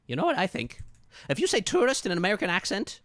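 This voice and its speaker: A man voicing a pushy, blustering male detective with an Irish accent, talking in a loud, sputtering, accusing way. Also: sits high, huge pitch swings, fast, no room sound, studio quality, pitched above the performer's own voice, heavily acted.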